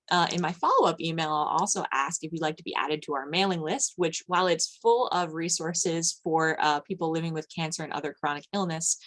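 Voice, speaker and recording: A woman speaks as the host of an online arts event. Her voice sits a little lower than average. She addresses her audience in an informal, effusive way, as someone welcoming guests on a video call. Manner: effusive, greeting people by name.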